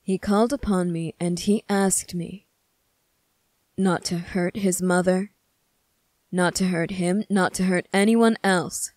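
Woman as a young male demon prince, low-pitched for a woman, deliberate, bitter, accusing, close dry sound, ASMR roleplay. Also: fairly even intonation, a little mellow, dry recording. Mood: grave, indignant. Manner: slow, deliberate, accusing, with breathy whispered phrases. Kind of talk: scripted ASMR roleplay monologue.

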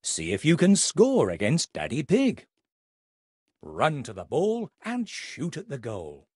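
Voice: medium pitch, wildly swooping pitch, slow, darkish tone, slightly rough, no room sound, studio quality. A narrator reading scripted instructions for a children's game in an encouraging way.

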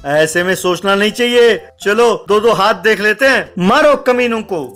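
A man puts on an indignant truck driver for a cartoon tale. The voice is high and bright, quick to take offence. Music plays underneath. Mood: combative. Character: indignant, hot-headed male truck driver. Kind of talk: animated story dialogue.

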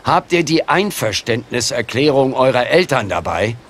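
A man's voice: medium pitch, very animated, normal speed, fairly bright tone, a little hiss or hum. A man playing a good-natured, matter-of-fact casting show official in an audio drama. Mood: brisk, friendly.

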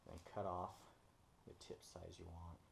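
A young man casually explaining an oil pan install in his home garage, relaxed and full of ums while he works. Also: low voice, measured pace, creaky, slight background noise. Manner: relaxed, many ums and uhs while working. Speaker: DIY mechanic host. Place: home garage, concrete floor. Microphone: camera on the floor nearby, hands busy.

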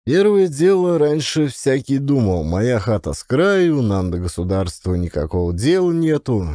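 A man's voice: medium pitch, big pitch swings, normal speed, mellow, no room sound, clean studio sound, pitched above the performer's own voice, lightly acted. A man voicing a talkative, earnest, optimistic working-class camp inmate in an audiobook, speaking colloquially and emphatically. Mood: earnest, emphatic. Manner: colloquial, emphatic, counting off points.

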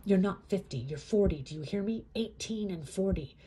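A woman's voice: lowish voice, animated, normal speed, creaky, warm tone, slight background noise. A woman voicing a wary male prisoner who speaks in an urgent, insistent way. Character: wary male prisoner, gruff but kind.

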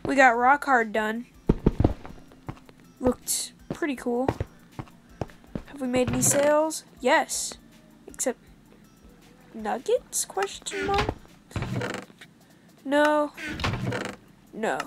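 A woman's voice: high-pitched, very slow, long pauses, over music. A young woman hosting a casual game commentary, chatty and upbeat as she recaps the session. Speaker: gaming channel host.

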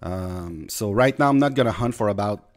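A man's voice: on the low side, expressive, normal speed. A young man from Quebec with a slight Quebec French accent, casually chatting through a mobile game stream. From Quebec, Canada. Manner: rambling, chatty, talks to chat. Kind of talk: casual gameplay commentary.

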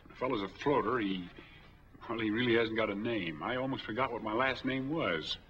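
An American actor in his forties playing a smooth, easygoing drifter in a 1940s film drama. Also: fairly low pitch, a little fast, fairly bright tone, close to an everyday voice. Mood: easygoing, plain. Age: 40s. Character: smooth, easygoing drifter applying for bank money. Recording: dull old 1940s film soundtrack.

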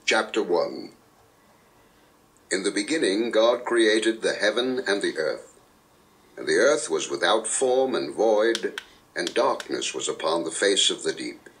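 Low male narrator reading scripture, steady and measured, heard through a small speaker. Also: a little hiss or hum, rough recording. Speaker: scripture reader.

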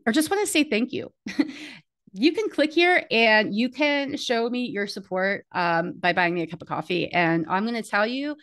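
A middle-aged woman speaks casually into a desk microphone in a small home office. Her delivery is chatty and unscripted, full of ums and uhs. Her pitch jumps up brightly whenever she mentions something new. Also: creaky. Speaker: community strategist walking through her website. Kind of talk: casual screen-share walkthrough.

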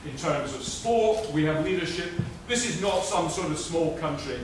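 A middle-aged Scottish man giving a brisk, assured prepared speech at a conference lectern, with frequent filler restarts. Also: fairly high pitch, expressive. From Scotland. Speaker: conference speaker. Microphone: lectern mic recorded by a far camera. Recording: echoey hall from far off, noisy.

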